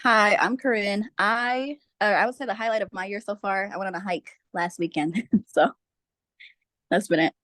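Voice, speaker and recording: A woman's voice: mid-range voice, fairly even intonation, moderate pace, fairly bright tone, no room sound. Casual woman on a dry call. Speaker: project lead. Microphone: video call.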